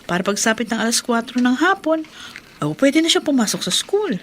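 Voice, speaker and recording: An actress playing a kind, chatty and principled schoolteacher wife in a radio drama, speaking frankly and encouragingly. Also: female voice, on the high side, big pitch swings, fairly quick, a little hiss or hum. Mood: firm, persuasive. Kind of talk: Filipino radio drama acting.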